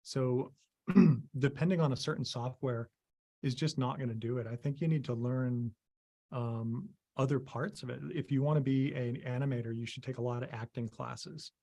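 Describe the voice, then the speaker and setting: A middle-aged man from Maine, a guest on a video call, speaking in halting, casual sentences full of ums and restarts. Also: mid-range voice, dark tone. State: losing his voice, clearing throat. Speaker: interview guest, visual effects artist.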